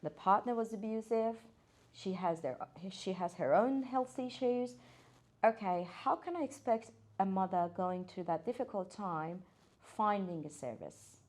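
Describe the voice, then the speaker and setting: A woman's voice: medium pitch, a little slow, a little mellow. A woman, a guest occupational therapist talking earnestly, with hesitant fillers and restarts. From Iran. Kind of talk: casual podcast interview.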